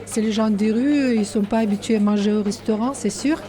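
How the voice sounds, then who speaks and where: A woman from Ukraine, a volunteer, speaking heartfelt French with many pauses and fillers. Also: mid-range voice. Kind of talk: interview answers. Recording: busy room with crowd chatter.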